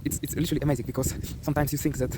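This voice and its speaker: A man's voice: medium pitch, flat delivery, very fast, background noise. A young man with a strong Ukrainian accent giving a loose, earnest motivational monologue in an old vlog, with frequent hesitations. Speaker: vlogger.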